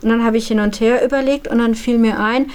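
A woman's voice: fairly high pitch, fairly even intonation, a little fast, darkish tone, smooth. A woman telling of her experiences as an organiser in a spontaneous interview. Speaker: event initiator being interviewed.

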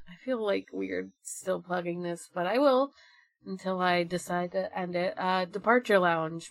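A woman's voice: fairly low pitch, no room sound. A woman co-hosting a podcast in casual, conversational talk. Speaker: podcast co-host. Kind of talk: casual chat.

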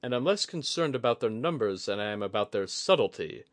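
A man's voice: medium pitch, animated, no room sound, voice pushed up, close to an everyday voice. Man playing a courteous, controlled samurai officer. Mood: controlled, stiff. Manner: measured, formal, courteous. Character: diplomatic male officer, controlled, polite, placating.

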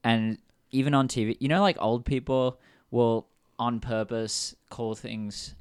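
A man with an Australian accent hosting a casual podcast chat, blunt and deadpan. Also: mid-range voice, unhurried, clean tone, no room sound, studio quality. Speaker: podcast host.

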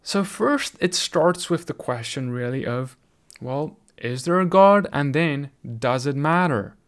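A man's voice: fairly high pitch, animated, measured pace, clear, resonant tone. South african guy, middle-aged, preaching to camera, earnest and chatty, keeps restarting his sentences. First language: Afrikaans. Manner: earnest, conversational, with frequent restarts. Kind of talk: video sermon.

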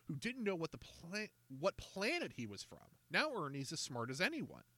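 A man voicing an eager young schoolboy who brags excitedly in a lively raised voice. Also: a little high, moderate pace, dry recording, voice pushed up, exaggerated voice. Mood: boastful. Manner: excitable, bragging.

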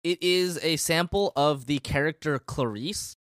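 A man's voice: a little high, measured pace, a bit bright, dry recording, clean studio sound. A man co-hosting a podcast in casual chat, explaining things in a rambling, hesitant way. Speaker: podcast co-host.

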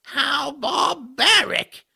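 A man voicing a pompous, droll magical creature in an audio drama, speaking in a formal, drawn-out way. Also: extremely high pitch, animated, gravelly, bright, forceful tone, heavily acted. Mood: haughty.

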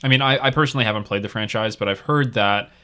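A man with a bright, forceful tone hosts a casual podcast. He talks in a relaxed, rambling way, full of fillers and restarts. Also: on the low side, fast talker, smooth. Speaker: podcast host.

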